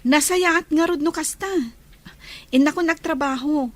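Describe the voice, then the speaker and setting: Woman as caring young daughter, clearly high voice, Filipino accent, dutiful, audio drama. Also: measured pace, no echo. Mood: attentive, caring. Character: caring, dutiful young daughter looking after her father.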